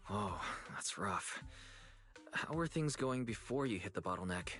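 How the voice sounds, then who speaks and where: A man's voice: on the low side, expressive, unhurried, a bit bright, faint music behind. A man voicing an easygoing, patient young man who listens like a supportive friend in a video game. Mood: relaxed, curious.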